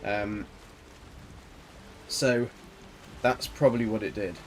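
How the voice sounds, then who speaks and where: A man's voice: lowish voice, slow, slight background noise, low-quality recording. A man, chatting casually to viewers while he plays and mutters to himself. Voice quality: soft voice. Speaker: game streamer. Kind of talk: relaxed live gameplay commentary.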